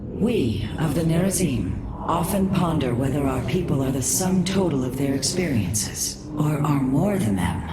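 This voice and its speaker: An actress playing a wise female alien mystic who speaks in a calm, measured, solemn way. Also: low-pitched, heavy background noise.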